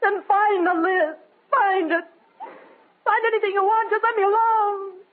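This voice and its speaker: An actress playing a fragile, grief-stricken widow in an old radio drama, pleading and overwrought, on a tinny recording. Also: female voice, very high-pitched, steady tone, unhurried. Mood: hysterical, tearful. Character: grief-stricken, worn-out widow of a party member. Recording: tinny band-limited vintage radio recording.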